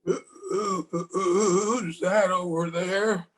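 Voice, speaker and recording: An actor playing a feeble, forgetful old husband in a radio play, halting and repeating his words, tender and querulous. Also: sits high, fairly even intonation. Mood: confused, fretful. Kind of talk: old-time radio drama acting. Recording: home video call with music.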